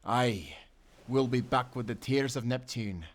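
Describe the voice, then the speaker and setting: An actor playing a loyal, good-natured sailor in a nautical audio adventure, speaking earnestly with friendly seafaring phrasing. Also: male voice, mid-range voice, a little slow, lightly acted. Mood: rousing. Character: young-hearted, loyal, good-natured sailor and former timberman. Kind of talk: cinematic nautical audio roleplay acting.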